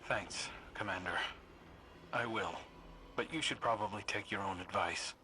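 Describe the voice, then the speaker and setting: A man playing an earnest, remorseful young soldier in a video game, gentle and sincere. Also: on the low side, unhurried. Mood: dutiful. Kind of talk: dramatic video game acting. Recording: faint ambient noise behind.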